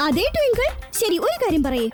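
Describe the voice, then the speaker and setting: A woman's voice: very high-pitched, huge pitch swings, a little fast, full character voice. A woman voicing a clever young boy superhero who confidently explains his deductions. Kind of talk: cartoon dubbing. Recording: music behind.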